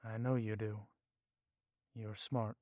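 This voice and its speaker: An actor playing a stern, cautious vampire police chief in an audio drama, speaking gravely with some Spanish words mixed in. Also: male voice, fairly low pitch, flat delivery, slow, darkish tone, no room sound, studio quality. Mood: measured, watchful.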